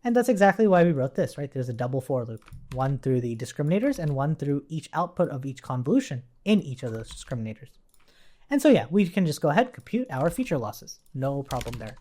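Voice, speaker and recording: A person giving a casual live-coding tutorial, thinking aloud informally and often checking in with a quick "right?". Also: medium pitch, sing-song, warm tone. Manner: informal, thinking aloud while working, tags statements with "right?". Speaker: instructor, coding tutorial presenter.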